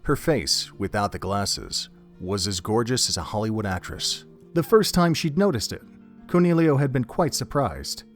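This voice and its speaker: A man narrating an audio drama in a steady, descriptive storytelling manner. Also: lowish voice, normal speed, soft background music.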